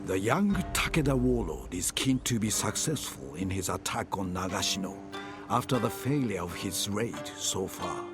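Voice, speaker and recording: A man narrating samurai wars for a video game cutscene as a grave chronicler, in measured, dramatic storytelling. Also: on the low side. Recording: music under the narration. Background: cinematic music.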